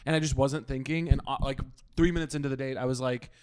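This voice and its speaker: A man's voice: medium pitch, steady tone, fast, darkish tone, clean tone. A man hosting a podcast in a casual, chatty and playful way, joking at his own expense. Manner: chatty, playful, self-deprecating. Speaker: podcast host. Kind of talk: casual chat.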